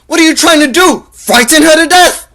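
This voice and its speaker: A man's voice: very high-pitched, moderate pace, bright, forceful tone. A man playing an earnest, impassioned young sweetheart in a radio drama. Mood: angry demanding.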